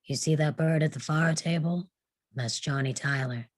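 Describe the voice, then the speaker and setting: A woman's voice: low voice, normal speed, no room sound, clean studio sound. A woman playing a weary, sardonic male saloon owner in a western table read. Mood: weary, disgusted.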